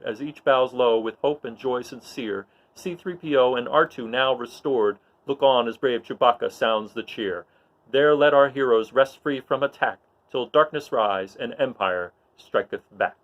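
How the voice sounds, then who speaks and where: A man's voice: medium pitch, moderate pace, dull audio, close to an everyday voice. A man acts as an all-knowing Greek chorus narrating in Shakespearean verse. The delivery is stately, solemn and celebratory, declaimed as if from a stage. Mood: triumphant, solemn.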